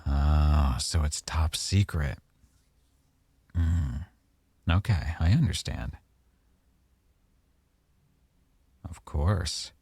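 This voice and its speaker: A man acting as a young mafia boyfriend in an intimate ASMR roleplay. His voice is extremely low and mellow, recorded dry and close. He talks in an unhurried, teasing way, coy with a veiled menace. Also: lively intonation, breathy edge, no echo, exaggerated voice. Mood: teasing, probing.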